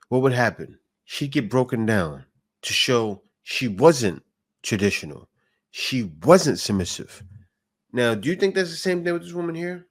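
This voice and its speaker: Guy reacting to clips, blunt and argumentative, mid pitch, keeps firing off rhetorical questions. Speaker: reaction video host. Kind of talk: opinionated reaction commentary.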